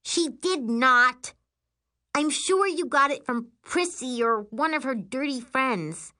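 A woman voicing an indignant, bossy twelve-year-old girl in a shrill, snapping voice for an audiobook. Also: animated, slow, sharp tone, heavily acted. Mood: defiant.

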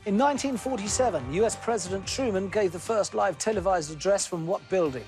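High-voiced quiz host. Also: male voice, over music. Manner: brisk, quipping, reads questions crisply. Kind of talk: TV game show hosting, jokey.

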